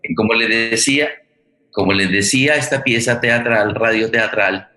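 Halting man, bright voice, echoey room. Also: lowish voice. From Colombia. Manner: halting, repeats words and phrases. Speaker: forum host. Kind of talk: live online forum hosting, conversational. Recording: echoey room over online stream.

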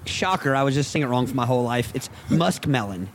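A man's voice: mid-range voice, expressive, fast, a loud crowd. A man co-hosting a casual group chat, joking and chatty. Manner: joking, chatty, repeats words. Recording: noisy call audio.

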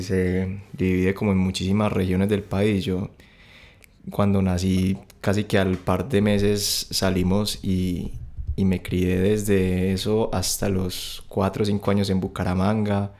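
A young man with a Bogotá Colombian accent, telling his story as a podcast guest in a halting, relaxed way with many restarts. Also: deep voice.

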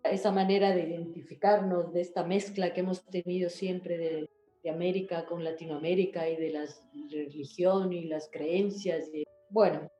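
A woman's voice: lowish voice, steady tone, moderate pace, mellow, reverberant, highs cut off, soft background music. A woman talks casually as an interview guest, in a chatty, rambling way, often checking back with the listener. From Ecuador.

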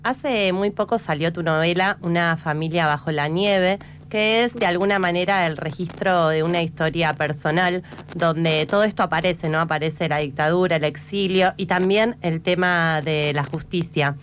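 A woman hosts a radio interview with a fairly low voice. She asks her questions in a relaxed, conversational way, and a faint hum sits under her voice. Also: normal speed, few pauses.

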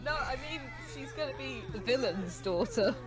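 Lively woman riffing wryly over a movie. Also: on the high side, normal speed, poor audio. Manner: wry, joking. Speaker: host of a movie reaction show. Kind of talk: casual reaction commentary over a film. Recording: film soundtrack clearly behind. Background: movie audio with music.

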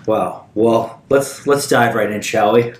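A man co-hosting a casual podcast chat, jokey and quick to exclaim. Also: fairly low pitch. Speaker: co-host. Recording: echoey room, faint noise.

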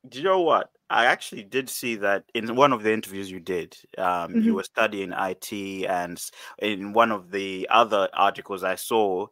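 A man's voice: lowish voice, moderate pace, hardly any pauses, fairly bright tone, no echo. A man hosting a podcast in a playful, teasing way. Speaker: podcast host.

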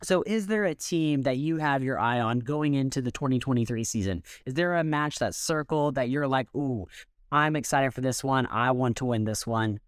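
A man's voice: mid-range voice, normal speed, clean tone, no echo, studio quality. A man speaking as a sports show host, asking interview questions and linking segments.

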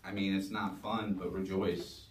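A man leading a church service, reading scripture with measured emphasis and preaching. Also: sits low, little pitch movement, noisy background. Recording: roomy sound from a distance.

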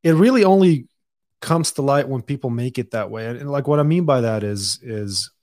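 A man's voice: mid-range voice, animated, normal speed, darkish tone, dry recording, clean studio sound. A man who grew up in Dubai, hosting a podcast in casual conversation, often restarting his sentences and laughing easily. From Lebanon, raised in Dubai. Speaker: podcast host.

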